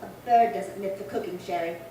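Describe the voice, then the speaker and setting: A woman's voice: medium pitch, a little fast, mellow, lightly acted. A woman playing a resentful, defiant seventy-nine-year-old spinster in an amateur old-time radio crime drama, querulous and emphatic. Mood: indignant. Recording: roomy echo, distant mic, faint hiss.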